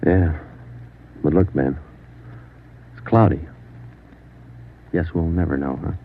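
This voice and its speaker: An actor playing a tough, terse police sergeant in 1940s radio drama, clipped and commanding. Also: male voice, extremely low pitch, takes it slowly, slight background noise, full character voice. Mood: weary, quiet. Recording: dull 1940s radio recording, music behind.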